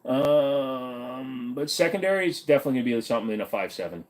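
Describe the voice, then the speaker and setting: A man hosting a loose livestream Q&A, reading out viewer questions and firing back answers. He's offhand and blunt, joking as he goes and dropping the odd swear. His voice sits in a middle pitch. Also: lively intonation, fairly quick, a little mellow. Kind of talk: casual livestream Q&A.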